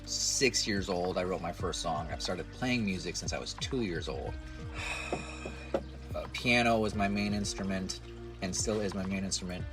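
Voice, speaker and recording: Nasal deep-voiced man in his forties. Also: measured pace, over music. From United States. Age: 40s. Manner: rambling, self-deprecating, chatty. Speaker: musician and content creator. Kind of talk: casual talk to camera.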